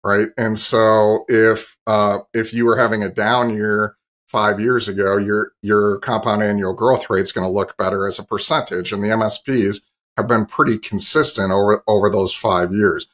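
A middle-aged man with a fairly low voice speaks as an interview guest on a video call. He explains business matters like an industry analyst, indoors in a home office. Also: steady tone, normal speed, a bit bright.

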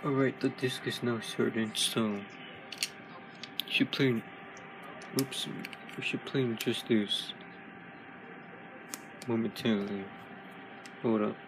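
A young man casually narrating his own home toy video, quietly and plainly. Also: medium pitch, steady tone, slow, long pauses, background noise.

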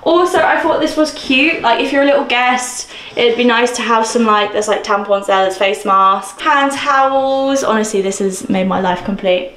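A young woman in her twenties chatting casually and excitedly as she shows off her new flat, filmed on a handheld camera. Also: a little high, moderate pace. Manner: chatty, bubbly, gushing with excitement. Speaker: vlogger. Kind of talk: casual home tour vlog. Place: mostly empty new flat, indoors. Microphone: camera mic, handheld camera. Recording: echoey empty rooms.

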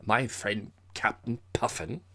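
A man's voice: lowish voice, takes it slowly, fairly bright tone. A man voicing a gallant army major in an audiobook, hearty and clipped, dropping small words. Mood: solemn, loyal. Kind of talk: audiobook character voice.

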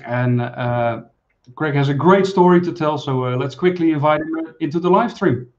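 A man in his forties hosting a live stream interview over a video call, talking in a friendly, halting way with many fillers. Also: a little high, dull audio. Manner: friendly, halting, many uhs, laughs easily. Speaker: host. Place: small room, indoors.

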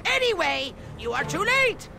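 An actor voicing a short, megalomaniac evil dark sorcerer in a video game, shrill, pompous and taunting. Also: male voice, very animated, unhurried, creaky, over music, full character voice. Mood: mocking. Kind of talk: over-the-top cartoon villain acting.

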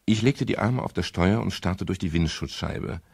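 Gravelly, low-voiced private detective. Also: male voice, moderate pace, a little mellow, dry recording, studio quality, heavily acted. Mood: reflective narration. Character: wry male private detective, chivalrous, world-weary. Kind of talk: 1970s German radio crime drama acting and narration.

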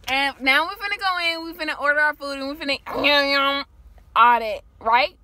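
A young adult woman chatting casually for a vlog in an animated, teasing, slangy way, recorded on a phone inside a car. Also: sits high, normal speed. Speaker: vlogger. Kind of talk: casual vlog chat with playful bickering. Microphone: phone camera at arm's length.

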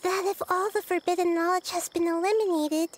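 A woman voicing a small, childlike girl deity in a video game, thoughtful and deliberate, wise beyond her looks. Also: very high voice, fairly even intonation, slight background noise. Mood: thoughtful, concerned.

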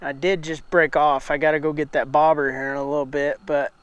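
A man, giving casual running commentary while fishing from a small boat on a river, with excited bursts and muttered asides. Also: fairly high pitch, fairly quick, clean tone, no room sound, muffled recording. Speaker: fishing vlogger. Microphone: small clip-on mic on the chest strap.

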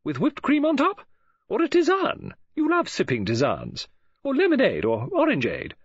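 Man putting on a gushing, high-voiced lady novelist. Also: huge pitch swings, normal speed, no room sound, full character voice. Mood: fussing. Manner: gushing, coaxing, high breathy falsetto. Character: chatty excitable middle-aged female novelist. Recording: dull lo-fi audiobook recording.

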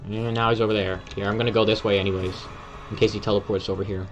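A man's voice: on the low side, fast talker, lo-fi sound, faint music behind. A young man giving casual gameplay commentary, cheering on a teammate. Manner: excited, urging on a teammate. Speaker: gamer.

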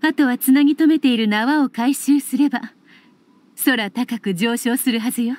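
Woman acting calculating female leader, composed, elegant, deliberate, slightly high voice, anime game. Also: a little mellow, natural voice. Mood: composed, gracious. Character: poised, wealthy woman leader, calm and calculating.

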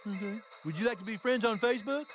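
A man's voice: sits high, fast, soft background music, lightly acted. A man voicing a mild, defensive, awkward male character in a cartoon video game.

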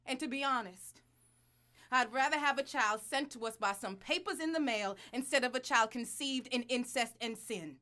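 An actress playing a bitter, devout wife in an audio drama, intense and deliberate in her delivery. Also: on the high side, bright, forceful tone. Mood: bitter, incensed. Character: bitter, anguished wife and reluctant adoptive mother, devout. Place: small recording studio. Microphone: studio condenser mic.

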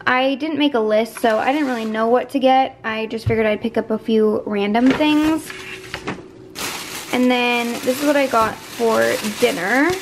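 A woman's voice: on the high side, measured pace. A woman in her twenties with a slightly scratchy voice, chatting casually to her viewers in a home vlog. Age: 20s. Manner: informal, frequent "like" as filler. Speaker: lifestyle vlogger. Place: indoors in a home room.

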